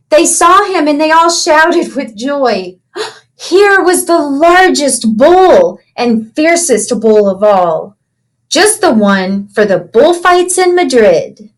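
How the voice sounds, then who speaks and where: A woman reading a picture book aloud to children in an animated storytelling way, pausing to ask them simple questions. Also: sits high. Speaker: children's read-aloud teacher.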